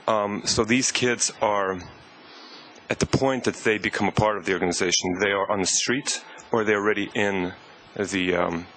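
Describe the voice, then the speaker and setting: A man from the audience, slowly and tentatively asking a question to a panel. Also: fairly low pitch, fairly even intonation, a bit bright, a little hiss or hum, poor audio. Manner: slow, careful, tentative. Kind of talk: question from the floor.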